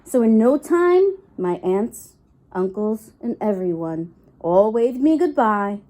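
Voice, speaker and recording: A woman's voice: medium pitch, sing-song, slow pace, dark tone. A woman reading a rhyming storybook aloud expressively for young children. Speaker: storybook reader for preschool children.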